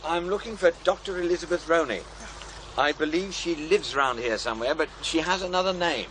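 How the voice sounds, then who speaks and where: An actor in an audio drama playing a courteous, probing programme maker who asks questions a little hesitantly. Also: male voice, on the high side, a little fast, fairly bright tone, slight background noise, lightly acted, low-quality recording. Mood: hesitant, puzzled. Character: polite, probing male programme maker seeking witnesses.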